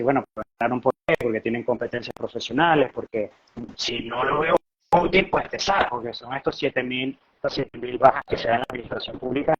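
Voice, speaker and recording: A Venezuelan man explains things at length in a mid-pitched voice, like an analyst invited onto a live show. He is talkative and likes to pose questions as he goes. The audio is somewhat dull and lo-fi. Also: slightly rough. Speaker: guest economist and analyst. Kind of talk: conversational economic commentary.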